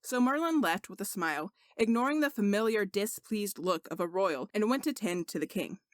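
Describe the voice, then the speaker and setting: A woman's voice: mid-range voice, animated, normal speed, no echo, studio quality. A woman reading scripted fiction aloud as an audiobook narrator.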